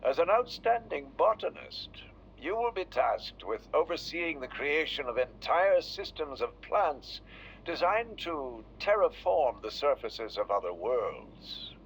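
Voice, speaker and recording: An actor playing a visionary Soviet official in a video game, speaking formally and grandly. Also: male voice, on the high side, sing-song, unhurried. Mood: formal, proud. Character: visionary Soviet official congratulating a new deputy. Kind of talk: video game recorded-message acting. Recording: muffled audio with faint noise.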